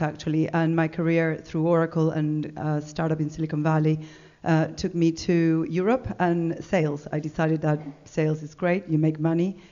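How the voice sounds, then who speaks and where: A middle-aged woman with an Argentine accent speaks to a room, chatty and animated, full of "um"s and restarts. Her voice sits on the low side. The event recording sounds dull and lo-fi. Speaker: conference speaker. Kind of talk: business talk to an audience.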